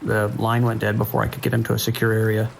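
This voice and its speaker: A man's voice: lowish voice, monotone, fast talker, gravelly. A man playing an anxious police dispatcher in an audio drama, urgent and clipped, holding the line. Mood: shaken. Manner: urgent, clipped radio procedure, hesitant when shaken. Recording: rain and ambient noise behind.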